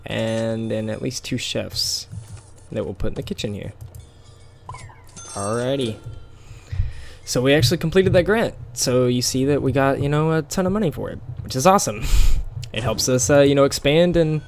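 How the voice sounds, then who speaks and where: Gravelly man with a scratchy, tired voice. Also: medium pitch, moderate pace. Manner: rambling, with many fillers and small laughs. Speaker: gameplay commentator. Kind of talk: casual game commentary.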